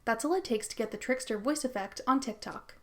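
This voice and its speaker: A woman's voice: fairly high pitch, brisk, a bit bright. A woman narrating a scripted how-to tutorial as its host.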